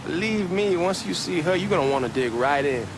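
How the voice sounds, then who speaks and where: An actor playing a cocky, talkative young hustler with an American Southern accent in a video game, speaking in loose slang. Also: male voice, a little high, lively intonation, fairly quick, lightly acted. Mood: joking, teasing. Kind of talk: acted game dialogue, casual banter. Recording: car engine and street noise behind. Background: moving car ambience.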